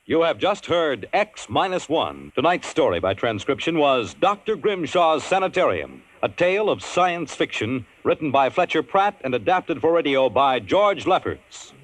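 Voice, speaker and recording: A man announcing in a formal, measured 1950s radio style, reading scripted narration and credits on an old broadcast recording. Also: a little high, sing-song, poor audio. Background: music.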